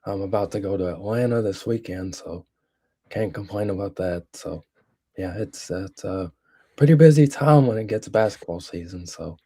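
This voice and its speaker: A man's voice: fairly low pitch, normal speed, mellow, slightly rough. A young man from Kentucky, a guest on a sports podcast, giving brief, easygoing answers. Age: young adult. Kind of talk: casual sports chat.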